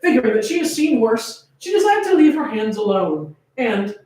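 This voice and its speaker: A woman's voice: on the high side, sing-song, normal speed, dark tone. A woman giving a dramatic, comedic reading of fan fiction, theatrical and prone to amusement. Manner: theatrical, often breaking into laughter. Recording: very echoey room, far from mic.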